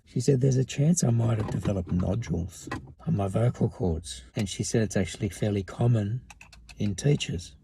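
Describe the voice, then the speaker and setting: Hoarse, gravelly man vlogging from his car. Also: fairly low pitch, moderate pace, dark tone. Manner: plain, matter-of-fact talk with restarts. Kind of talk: casual vlog narration. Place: in a car, then outdoors in a yard. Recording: noisy car and outdoor background.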